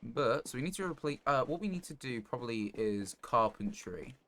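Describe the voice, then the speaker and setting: A man streaming a game live, giving casual commentary in a halting, rambling way as he thinks aloud. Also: medium pitch, animated, fairly bright tone. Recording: noticeable background noise.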